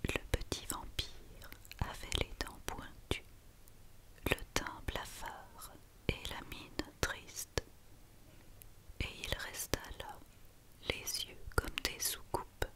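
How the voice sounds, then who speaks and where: A woman whispering a children's story in a breathy, gentle, soothing ASMR reading. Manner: slow, soothing, gentle delivery.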